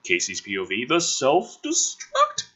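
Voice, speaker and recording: Male script reader and commentator, bright, forceful and very animated, sardonic edge, slightly husky. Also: mid-range voice, a little slow. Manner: sardonic, emphatic, profane. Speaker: host reading a film script aloud with his own commentary. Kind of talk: dramatic script reading with sarcastic asides.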